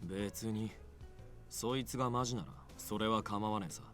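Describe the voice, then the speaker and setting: Man playing grumpy young hothead, low-pitched, very fast, curt and rude, visual novel game, music behind. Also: fairly even intonation, a bit bright, close to an everyday voice. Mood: grudging. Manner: rough, curt, blunt speech. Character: grumpy, rude, hot-tempered young man of a cafe circle. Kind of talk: Japanese visual novel voice acting.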